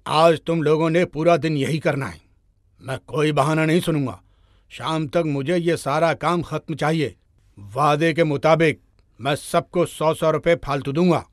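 Man playing a stern, higher-pitched work boss. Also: measured pace, dry recording, clean studio sound, close to an everyday voice. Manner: firm, commanding. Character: stern, no-nonsense male construction contractor. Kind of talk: animated moral-story character voice.

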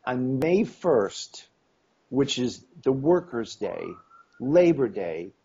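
A middle-aged man with a husky voice and a slight cold speaks as an interview guest over a video call. His talk rambles, full of ums, and he acts out quoted dialogue. The sound is dull and lo-fi. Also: mid-range voice, very animated, slow pace, warm tone. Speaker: interview guest, esoteric history author. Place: home room on video call.

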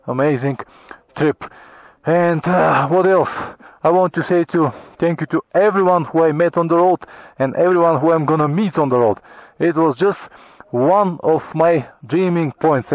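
A middle-aged Bulgarian man talking to his camera on a motorcycle trip, in halting, grateful English full of restarts, recorded outdoors on a helmet or handheld action camera. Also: a little high, no echo. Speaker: motorcycle travel vlogger. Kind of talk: casual travel vlog talk to camera. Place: high mountain pass outdoors. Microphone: action camera on helmet or in hand.

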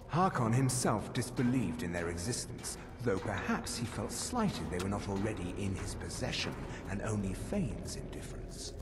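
Courtly vampire in an echoing hall. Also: male voice, lowish voice, background noise. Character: courtly, scheming male vampire of an ancient court. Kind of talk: fantasy video game character acting. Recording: long reverb, game music behind.

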